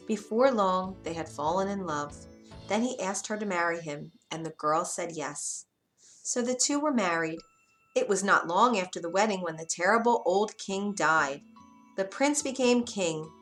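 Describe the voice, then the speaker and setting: A woman narrating a scripted bedtime fairy tale for children, expressively and with dramatic emphasis. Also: mid-range voice. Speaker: children's storyteller. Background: music.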